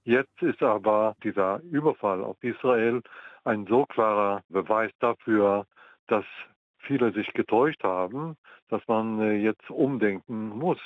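An older man from the Mandate of Palestine, speaking as a historian in an interview, measured and pausing often with ums. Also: lowish voice, dry recording. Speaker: guest historian. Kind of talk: interview answers. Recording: tinny phone line, dry.

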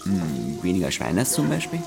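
A laconic Bavarian inn helper in a radio drama. The delivery is deadpan and practical in dialect, yet swings widely in pitch, with clear music and sizzling behind. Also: normal speed, exaggerated voice. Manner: laconic, deadpan, matter-of-fact. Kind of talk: audio drama dialogue in dialect. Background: music and sizzling kitchen sounds.

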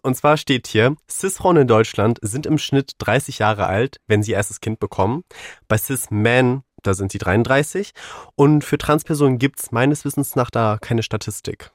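A man's voice: medium pitch, moderate pace, no room sound, clean studio sound. Laid-back young man co-hosting a podcast. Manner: laid-back, sprinkles in English phrases. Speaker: podcast co-host. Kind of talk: casual podcast chat. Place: studio.

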